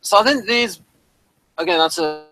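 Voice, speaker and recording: A man with a fairly high, bright voice gives casual review commentary as a podcast co-host. He speaks haltingly, often repeating words while he works out his point. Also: expressive, low-quality recording.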